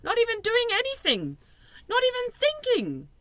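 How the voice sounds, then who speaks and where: A woman voicing a kindly, excitable old male giant from a children's storybook, earnest and emphatic, with muddled grammar and nonsense words. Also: extremely high pitch, normal speed, no room sound, pitched above the performer's own voice, heavily acted.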